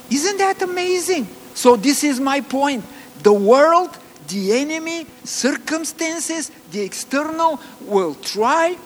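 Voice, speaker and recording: A man with a Bulgarian accent preaching a sermon, earnest and halting, with many fillers and restarts. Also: high-pitched. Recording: long reverb, faint ambient noise.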